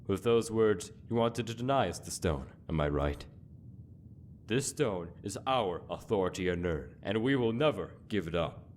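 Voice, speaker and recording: Man acting a deep-voiced, zealous grand inquisitor. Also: lively intonation, a little mellow, slight background noise. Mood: solemn, accusing. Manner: solemn, deliberate, rhetorical speechmaking. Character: zealous old male inquisitor, grave, self-righteous, cracking with anguish. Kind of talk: dramatic monologue in a fantasy game mod.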